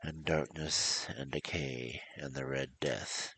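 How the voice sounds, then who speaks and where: A man with a low, forceful voice narrates a scripted tale. His reading is deliberate and theatrical, like a storyteller's. A faint hiss and clicking sit on the recording. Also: steady tone. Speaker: storyteller, streamer.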